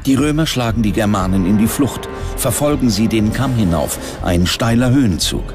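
A man reading scripted documentary narration in a measured, dramatic storytelling manner. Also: on the low side. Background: background music.

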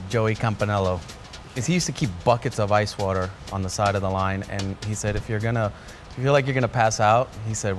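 A man, a chef giving a documentary interview in a restaurant dining room, speaking in a reflective, halting way with frequent restarts. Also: lowish voice. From born in Colombia, raised in Queens, New York. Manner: reflective, halting, many restarts and "you know" fillers. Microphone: off-camera interview mic, seated close framing. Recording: music bed under the voice.

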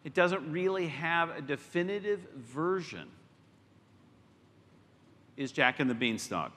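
A man's voice: a little high, expressive, takes it slowly, bright, forceful tone, a little hiss or hum. A middle-aged man presenting and proudly announcing films on a large arena stage. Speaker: studio executive presenting on stage. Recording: arena sound with long reverb.

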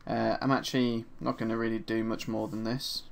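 Hoarse gravelly man narrating a tutorial. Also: on the low side, brisk. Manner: relaxed and chatty, with frequent ums and uhs. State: slightly hoarse from a sore throat. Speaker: 3D art instructor. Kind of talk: screen-recorded software tutorial narration. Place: at his desk, voice-over for a screen recording.

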